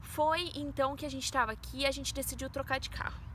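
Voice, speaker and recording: A woman's voice: sits high, steady tone, fast talker, a bit bright, slight background noise. A woman in her twenties with a Brazilian Portuguese accent, earnestly telling viewers a personal faith testimony. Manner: earnest, storytelling, addresses viewers as "gente". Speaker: vlogger.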